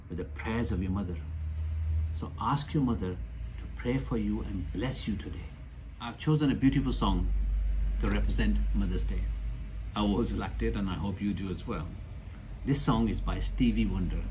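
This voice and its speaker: A man in his sixties delivers an earnest, sermon-like holiday message from his small office. His voice sits low and mellow, with repeated heartfelt appeals to the listener. A faint hiss of room ambience runs under the recording. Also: poor audio. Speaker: clinic doctor giving a holiday message. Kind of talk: heartfelt scripted address to camera. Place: small office with certificates on the wall.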